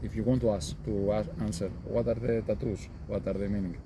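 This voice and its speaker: A male reporter asks quick, matter-of-fact questions in a low, flat voice. He sits away from the mic, and clear background noise runs under him. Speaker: sports reporter. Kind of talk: interview questions.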